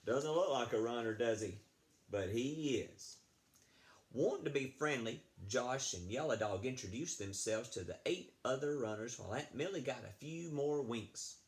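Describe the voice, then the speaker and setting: Animated man reading a storybook aloud. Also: mid-range voice, normal speed. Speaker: read-aloud storyteller, apparently addressing schoolchildren. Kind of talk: expressive picture-book read-aloud with casual asides.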